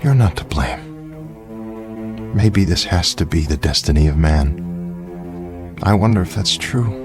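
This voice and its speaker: An actor playing an earnest male survivor who pleads with a crowd and speaks gravely in a radio drama. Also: low-pitched, lively intonation, slow pace. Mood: weary. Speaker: keeper of the cave's secret. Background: music score.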